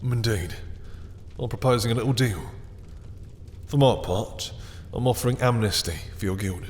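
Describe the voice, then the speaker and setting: A man voicing a possessive, sadistic male vampire lord in an audio roleplay, smooth and taunting, with soft chuckles and low whispers. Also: moderate pace, in a large hall, a little hiss or hum, close to an everyday voice. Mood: seductive.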